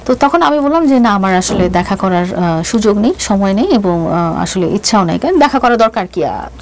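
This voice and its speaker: A woman's voice: mid-range voice, animated, normal speed, rough recording. A middle-aged woman with a Bangladeshi accent telling her personal story as an interview guest, mixing in English phrases and often restarting as she hesitates. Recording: noticeable background noise.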